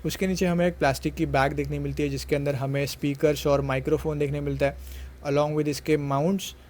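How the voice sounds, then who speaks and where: Higher-pitched, even-toned man reviewing. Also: a little fast. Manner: explanatory, step-by-step. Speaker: product reviewer. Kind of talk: unboxing and review narration with English words mixed in.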